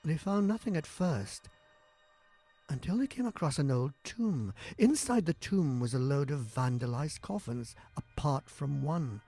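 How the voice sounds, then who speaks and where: A male narrator tells a gothic horror story as radio drama, with music behind him. His fairly high voice is theatrical and suspenseful, leaning hard on key phrases. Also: expressive, a little slow, warm tone, breathy edge.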